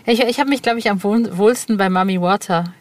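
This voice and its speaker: A woman's voice: medium pitch, a little fast. A woman hosting a podcast in a casual, slangy, enthusiastic way, rambling and breaking off sentences as she goes. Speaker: podcast host. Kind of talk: casual, chatty podcast talk.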